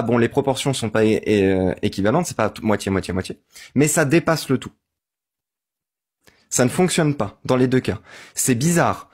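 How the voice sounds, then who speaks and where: A man in an online voice-chat debate, laying out his argument step by step and asking for agreement. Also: medium pitch. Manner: methodical, argues step by step, seeks agreement. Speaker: debate host.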